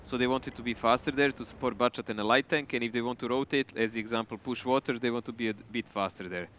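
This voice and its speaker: A man's voice: mid-range voice, quick pace, a bit bright. A man co-casting a live esports match, talking in a chatty way with loose phrasing and restarts. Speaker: esports co-caster.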